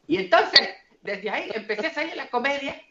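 A man's voice: very high voice, expressive, fairly quick, heavy background noise. Singer-comedian guest, man, raised in the Dominican Republic; hesitant, restarts a lot; roomy, dull sound. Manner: hesitant, many fillers and restarts. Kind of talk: casual interview chat. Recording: echoey and dull.

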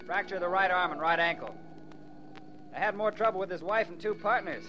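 Brisk, bright-voiced radio-play doctor. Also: male voice, sits high, faint music behind. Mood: matter-of-fact. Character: brisk male ambulance doctor rattled by a death. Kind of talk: 1940s radio acting. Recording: dull, noisy 1940s radio recording.

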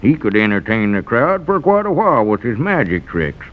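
An actor playing a scheming, smooth-talking rural squire in a 1940s radio comedy, falsely obliging and hemming as he wheedles. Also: medium pitch, big pitch swings, normal speed, lightly acted. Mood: boastful, persuasive. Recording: dull vintage radio broadcast recording.

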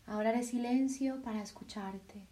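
A woman leading a guided meditation in a soft, soothing voice, with slow sighing breaths between phrases. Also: a little high, far from the mic, a little hiss or hum.

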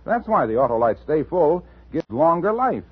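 A man announcing a 1950s radio commercial with an emphatic, salesmanlike pitch. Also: very animated, moderate pace, breathy edge. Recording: muffled, lo-fi old radio recording.

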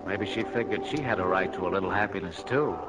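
A man's voice: deep voice, quick pace, over loud music, close to an everyday voice, rough recording. An actor playing a blunt, worldly but kindly showbiz man with slangy talk in a 1940s radio drama. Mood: frank, consoling.

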